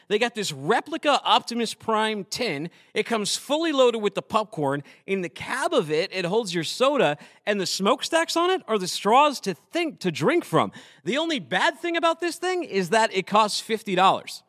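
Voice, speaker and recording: A man from Massachusetts, hosting a podcast in an animated, jokey, casual way. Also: sits high, no echo, clean studio sound. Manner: animated and jokey, fast filler-laden talk. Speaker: podcast host. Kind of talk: casual chat.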